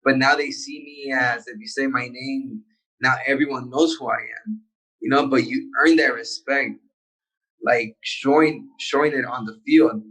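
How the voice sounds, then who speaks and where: A young man, a soccer player born in the United States, talking in a relaxed and halting way in a casual interview. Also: mid-range voice, breathy edge, rough recording. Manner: laid-back, halting, with many restarts. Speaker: professional soccer player, guest.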